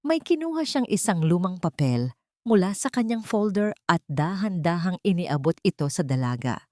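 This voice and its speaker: A woman storyteller narrating a scripted dramatic tale in a deliberate, emotive manner. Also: on the low side, sing-song, a little mellow, dry recording, studio quality.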